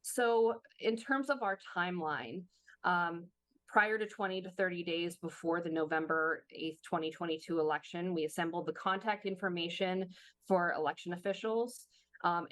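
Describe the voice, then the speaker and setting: Slightly hoarse woman presenting slides. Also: fairly low pitch, fairly bright tone, no room sound. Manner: explanatory, with frequent fillers and restarts. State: slightly hoarse, losing her voice. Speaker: presenter at a workshop. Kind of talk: webinar presentation walking through slides.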